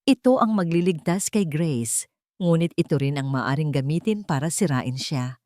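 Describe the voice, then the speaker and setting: A woman narrating a scripted audio drama in a solemn, deliberate and suspenseful manner. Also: low-pitched, lively intonation, darkish tone, no echo, studio quality.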